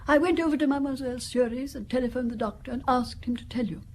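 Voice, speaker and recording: A woman's voice: high-pitched, quick pace, darkish tone, slight background noise. An actress playing a cold, scheming wealthy older woman who turns defiant and evasive under questioning. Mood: shaken. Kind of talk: radio drama acting.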